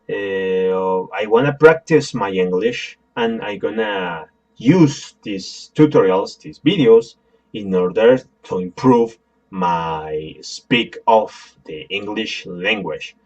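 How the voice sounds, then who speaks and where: Slow, halting Spanish-accented man. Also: lowish voice, a little mellow, lo-fi sound. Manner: halting, apologetic, many fillers and restarts. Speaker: software tutorial maker. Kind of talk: screen-recorded tutorial.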